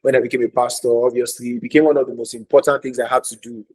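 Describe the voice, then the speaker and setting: A man's voice: medium pitch, level tone, racing, a little mellow, breathy edge, low-quality recording. Earnest man telling his story. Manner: earnest, storytelling, repeats phrases for emphasis. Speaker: guest. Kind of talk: casual podcast interview.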